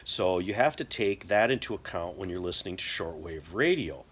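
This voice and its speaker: A middle-aged American man explaining things casually to camera in a halting, folksy way. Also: low-pitched, animated, a bit bright, slight background noise. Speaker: prepper video host. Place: indoor workshop or garage.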